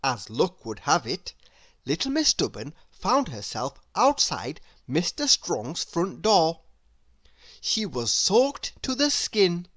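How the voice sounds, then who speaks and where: Woman doing kids read-aloud, slow and deliberate, dry close sound, very expressive. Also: fairly low pitch, no room sound. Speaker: storybook reader. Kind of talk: read-aloud narration for children.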